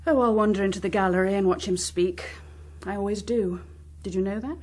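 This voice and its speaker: A woman's voice: medium pitch, brisk, a little mellow, close to an everyday voice. An actress playing a bitter, proud, defiant woman, sardonic and clipped, in a naturalistic political drama.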